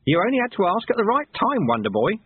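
A man's voice: sits high, wildly swooping pitch, fast talker, husky, no echo, phone-quality sound, pitched above the performer's own voice, heavily acted. A man voicing a sly, cheerful old monk and martial-arts master who talks in a cheeky, colloquial, teasing way, in an audiobook. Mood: gleefully teasing.

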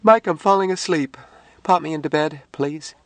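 A man voicing a bright, affectionate, playful young woman in an audiobook story, with a gushing, emphatic manner full of endearments. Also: fairly high pitch, normal speed, no room sound, pitched above the performer's own voice, close to an everyday voice. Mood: sleepy, pleading.

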